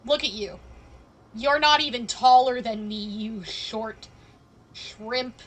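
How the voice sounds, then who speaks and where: A woman's voice: a little high, takes it slowly, a bit bright. A woman game streamer chatting casually and playfully, tossing teasing asides at the game as she plays. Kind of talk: casual live-stream chat while gaming.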